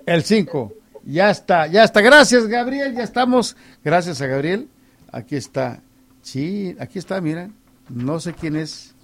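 A man's voice: sits high, animated, unhurried, fairly bright tone. A man hosting live radio, chatting casually and teasing callers, often repeating his phrases. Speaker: radio host.

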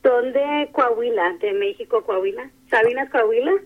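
A woman's voice: fairly high pitch, normal speed. A woman from Texas calling a radio contest by telephone, giving short, casual answers. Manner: brief, simple answers. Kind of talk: casual phone chat. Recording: narrowband phone line on radio.

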